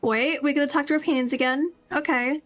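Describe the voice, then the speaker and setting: A woman's voice: high-pitched, steady tone, quick pace, fairly bright tone. A woman livestreamer giving casual, rambling game commentary as she chats to her viewers. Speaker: livestreamer playing a video game. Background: game music.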